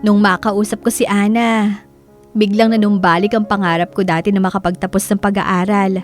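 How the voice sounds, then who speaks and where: Actress doing humble unsure filipino working woman, radio drama, middle pitch, music under it. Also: little pitch movement, normal speed, lightly acted. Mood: weary, wistful. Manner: hesitant, humble, soft hums before answering. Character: self-doubting working woman who quit college to support siblings. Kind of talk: Filipino radio drama acting with reflective narration.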